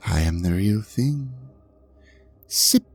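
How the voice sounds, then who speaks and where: An ancient dragon god of an audio drama, acted out by a man, vain, suave and quietly menacing. He drawls at a very unhurried pace with a gravelly creak, slipping into breathy murmurs. Faint music plays behind his theatrical, mock-courteous speech. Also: fairly low pitch, lively intonation, heavily acted. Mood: haughty, amused. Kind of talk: scripted audio drama monologue addressed to the listener.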